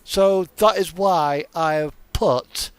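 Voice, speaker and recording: A man's voice: on the high side, takes it slowly, a little hiss or hum. A man chatting casually and offhandedly while playing a truck simulator game. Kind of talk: casual chat during gameplay.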